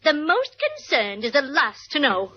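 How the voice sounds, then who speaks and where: An actress playing a shrill, scornful, long-suffering wife in melodramatic 1950s radio style. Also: female voice, sing-song. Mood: scornful, mocking. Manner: melodramatic, drawn-out, mocking. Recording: dull 1950s radio recording.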